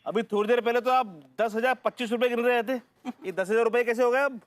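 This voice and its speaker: An actor playing an excitable man in a beggar gang in a broad Hindi sitcom. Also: very high voice, fast, no room sound. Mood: questioning.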